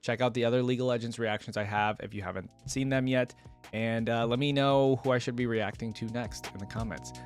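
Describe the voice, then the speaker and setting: A man's voice: medium pitch, fairly even intonation, brisk, soft background music. A man giving casual, rambling, enthusiastic commentary to his viewers as a reaction video creator. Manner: informal, rambling, enthusiastic about the game.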